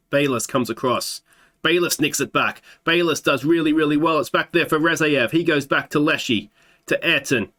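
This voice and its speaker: A man's voice: a little high, a bit bright. An Australian man in his thirties with a slight sore throat, chatting through a football management game at his desk mic, thinking aloud with fillers. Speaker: gaming YouTuber. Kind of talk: let's play commentary.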